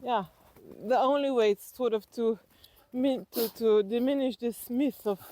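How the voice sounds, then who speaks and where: Mellow Slovenian-accented woman, thinking aloud. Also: fairly high pitch, measured pace, no echo. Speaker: interview guest. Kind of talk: reflective personal storytelling in conversation.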